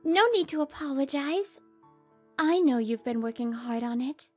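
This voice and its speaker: A woman's voice: high voice, animated, moderate pace, faint music behind. A woman voicing a poised, protective professional woman in a comic dub, speaking with composed assertiveness. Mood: calm.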